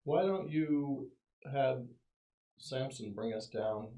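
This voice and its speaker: A man's voice: medium pitch, animated, slow, warm tone. A man playing a tabletop roleplaying game, casually talking through plans with the group.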